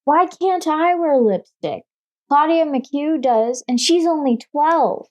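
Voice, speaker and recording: A small, pestering daughter in a golden age radio comedy. Her voice is very high and unhurried, with a blunt, whiny pleading manner. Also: expressive. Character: pouty, pestering young daughter, a little girl. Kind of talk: 1950s radio sitcom acting. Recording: vintage radio broadcast recording.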